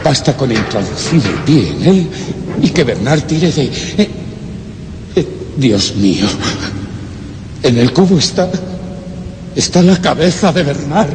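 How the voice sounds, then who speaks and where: A man's voice: mid-range voice, big pitch swings, slow pace, pauses often, reverberant, long reverb tail, background noise. An actor playing an anxious man trapped down a well in a suspense radio play, speaking in a dramatic, brooding way. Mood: horrified. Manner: dramatic, brooding inner monologue rising to cries.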